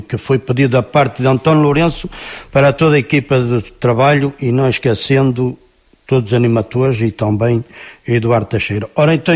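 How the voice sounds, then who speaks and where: A man hosts a small radio show at a middle pitch. He reads out listeners' dedications at an unhurried pace and sometimes trips over a name before moving on. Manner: unhurried, stumbling slightly over names. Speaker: radio host. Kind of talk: reading song dedications on community radio.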